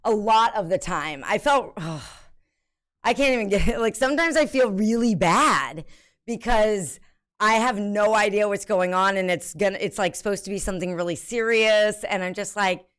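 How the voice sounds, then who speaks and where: A woman's voice: mid-range voice, normal speed, a bit bright. An American woman streamer in casual live chat, rambling with many ums and self-mocking laughter. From United States.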